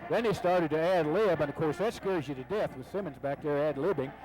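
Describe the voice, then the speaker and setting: Male color commentator, football radio, easygoing chatty analysis, slightly high voice. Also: a little fast, low-quality recording. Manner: relaxed, conversational analysis with fillers.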